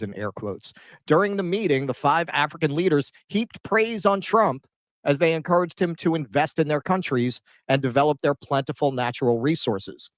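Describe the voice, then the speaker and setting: A man runs through a news summary and slips in dry, mocking remarks. His voice is mid-pitched. The recording is dry and dull, with a lo-fi sound. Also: no echo. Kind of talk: read news summary with sarcastic commentary.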